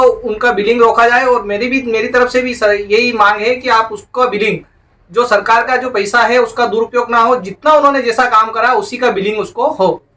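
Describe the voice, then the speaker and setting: Distant, high, sharp-voiced man. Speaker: local resident giving a statement to a reporter. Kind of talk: unscripted spoken statement. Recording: distant mic field recording.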